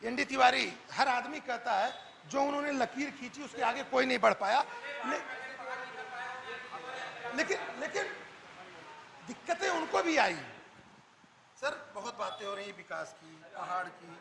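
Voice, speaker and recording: A man's voice: very high voice, animated, measured pace, vocal fry, long pauses, crowd noise. A man working as a TV reporter, questioning people in a political debate and insistently repeating himself to cut in. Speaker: TV reporter hosting a public debate. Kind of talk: street political vox-pop interviewing. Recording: reverberant, echoing sound.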